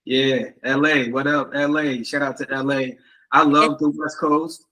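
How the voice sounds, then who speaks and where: Male host, mid-range voice, relaxed, lots of "yeah" and "wow" between questions. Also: mid-range voice, a little slow, low-quality recording. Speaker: interview host. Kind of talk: casual interview questions.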